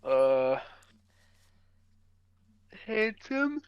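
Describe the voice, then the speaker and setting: A man's voice: high voice, big pitch swings, drawn-out words, a little hiss or hum. A man co-hosting a podcast in casual, slangy banter, joking and self-deprecating, on a slightly lo-fi close microphone. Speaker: podcast co-host.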